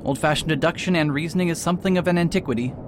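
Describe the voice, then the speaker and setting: A man's voice: on the high side, rapid-fire, lightly acted. A man playing a proud, faded detective who talks pompously and hesitates with filler sounds, in an amateur radio drama. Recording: noisy recording with steady hiss.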